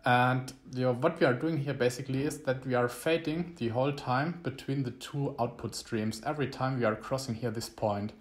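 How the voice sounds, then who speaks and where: A young man, not a native English speaker, giving a halting, explanatory screencast tutorial. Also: mid-range voice, fairly even intonation. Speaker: electronics tutorial host.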